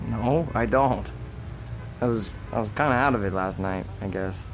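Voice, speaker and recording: A man's voice: on the low side, soft background music, close to an everyday voice. Man acting a weak, groggy wounded policeman. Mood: weak, confused. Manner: hesitant, halting. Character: injured male policeman, weak, polite, earnest, puzzled. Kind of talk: radio drama acting.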